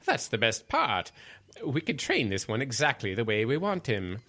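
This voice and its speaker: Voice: mid-range voice, wildly swooping pitch, normal speed, sharp tone, full character voice. A performer playing a pompous, doting, eccentric self-styled king in a comic radio drama, with theatrical, imperious delivery. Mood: smug, doting. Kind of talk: radio comedy audio drama acting.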